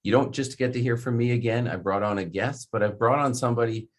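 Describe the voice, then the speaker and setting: A man's voice: mid-range voice, quick pace. A man hosting a podcast, chatting casually and rambling with lots of fillers and restarts. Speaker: podcast host. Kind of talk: casual interview chat.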